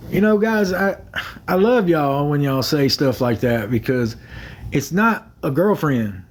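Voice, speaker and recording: A man's voice: fairly high pitch, animated, measured pace. Guy rambling to his livestream chat on his phone, repeats himself a lot, faint hum behind. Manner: rambling, repeats himself, thanks viewers for gifts. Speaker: livestream host. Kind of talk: casual livestream chat with viewers.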